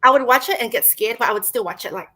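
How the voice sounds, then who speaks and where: A woman's voice: a little high, quick pace, pushed and bright. A woman in her thirties, a screenwriter guest on a video call, chatting casually, laughing often and acting out what people said. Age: 30s. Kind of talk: casual interview chat.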